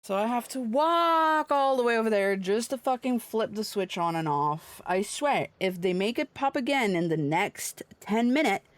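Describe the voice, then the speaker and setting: A woman's voice: on the high side, lively intonation, moderate pace, few pauses, no echo. A woman livestreaming a video game, chatting casually and reading dialogue aloud in an irreverent, blunt, sweary manner.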